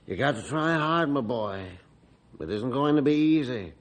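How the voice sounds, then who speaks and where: An actor playing a kindly, fatherly reform school principal in old-time radio style, avuncular and measured. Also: mid-range voice, animated, natural voice. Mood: kindly, encouraging.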